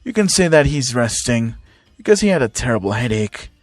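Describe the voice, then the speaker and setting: A man's voice: mid-range voice, sing-song, brisk, dry recording, voice pushed down, natural voice. A man voicing a smug army general who makes threats with mock politeness. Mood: smug menace.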